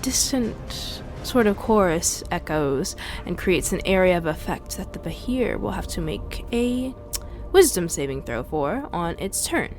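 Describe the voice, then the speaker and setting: A woman's voice: fairly low pitch, sing-song, measured pace, darkish tone. A woman playing a tabletop game, describing her character's moves. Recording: faint music bed under voice. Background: faint music.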